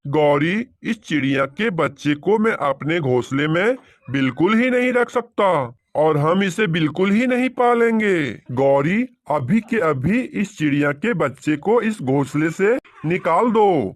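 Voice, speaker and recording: A man playing a commanding, excitable male crow husband in a children's cartoon, speaking emphatically. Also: a little high, measured pace, no room sound. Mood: angry, rejecting. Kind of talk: Hindi children's cartoon dialogue.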